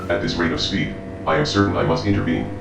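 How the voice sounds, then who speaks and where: A man playing a stiff, literal-minded, bluntly determined machine bodyguard in an audio drama, speaking in formal deadpan. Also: fairly low pitch, fast talker, creaky, echoey room, over loud music, poor audio. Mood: stern, determined. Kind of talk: scripted audio drama acting.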